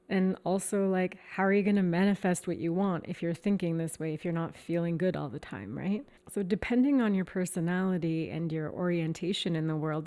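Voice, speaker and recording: A calm woman, a meditation teacher talking reflectively to the camera in a gentle, measured way. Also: on the low side. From Canada. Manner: calm, gentle and measured, slowing down on key words.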